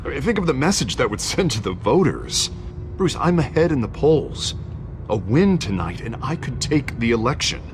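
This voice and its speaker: A man's voice: mid-range voice, sing-song, moderate pace, faint music behind, close to an everyday voice. A man voicing a hesitant, pleading politician. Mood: uneasy. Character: anxious politician candidate, male, middle-aged.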